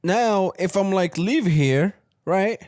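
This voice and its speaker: A man's voice: high-pitched, slow pace, darkish tone, dry recording, clean studio sound. A man from Morocco co-hosting a podcast, talking casually and rambling, often tagging his points with "you know what I'm saying". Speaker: podcast co-host. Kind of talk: casual chat.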